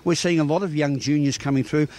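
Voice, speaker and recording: An Australian man reporting on local sport in a fairly high male voice. He talks quickly, putting questions in formal, wordy broadcast phrases. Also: fairly even intonation, a little mellow.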